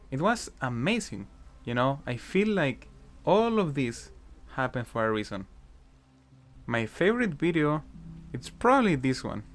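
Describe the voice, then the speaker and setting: Casual chatty man, improvised commentary. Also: medium pitch. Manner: casual, chatty, frequent "you know" fillers. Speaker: gaming YouTuber. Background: faint guitar music.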